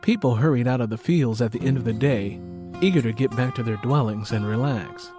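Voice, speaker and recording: A man's voice: medium pitch, fairly quick, over music. A man narrating a story as scripted audiobook prose.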